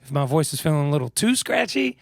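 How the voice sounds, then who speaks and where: A man's voice: a little high, sing-song, fast talker, creaky, no echo, studio quality. A man with a naturally scratchy voice, a musician guest chatting laid-back on a radio show and laughing easily. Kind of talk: radio interview chat.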